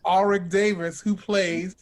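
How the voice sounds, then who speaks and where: A man conducts a relaxed interview as host. His voice is quite high for a man and his pace is slow. He halts and searches for phrasing. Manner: halting, searching for words. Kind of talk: casual interview.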